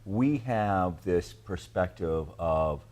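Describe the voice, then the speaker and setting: A man's voice: sits low, animated, slow pace, darkish tone. A man hosting a TV interview show, asking long thoughtful questions while searching for words. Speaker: TV show host.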